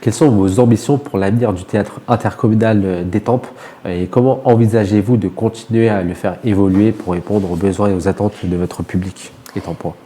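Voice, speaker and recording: A man hosts a radio interview in a lowish voice. His tone is soft and mellow as he lays out his questions for a guest. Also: steady tone, brisk.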